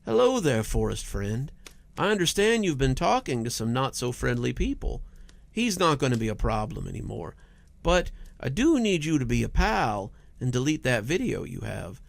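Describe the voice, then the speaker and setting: A menacing backwoods killer from a horror story, played by a male narrator. He intones his threats with fake friendliness, taunting and lively in pitch, with a creaky edge. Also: normal speed. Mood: threatening, falsely friendly.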